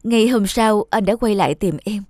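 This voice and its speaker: A man voicing a remorseful, gentle middle-aged lover in a melodramatic animated film, earnest and apologetic. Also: high voice, moderate pace, no echo, clean studio sound, close to an everyday voice.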